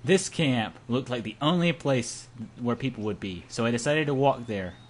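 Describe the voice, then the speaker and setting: A man's voice: mid-range voice, expressive, moderate pace. A young man reading a visual novel aloud as an amateur narrator, in a hammy, playful way that mixes dramatic reading with joking asides. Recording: amateur home recording with clear background noise.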